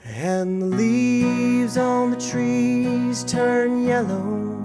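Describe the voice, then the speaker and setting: A woman singing in an old-time folk style. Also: lowish voice. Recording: guitar playing along with the voice. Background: plucked acoustic guitar.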